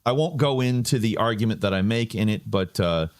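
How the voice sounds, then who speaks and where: Sardonic low-voiced man commentator. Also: expressive, a little fast. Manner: sardonic, rambling with fillers and restarts. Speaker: political commentator. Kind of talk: casual political commentary.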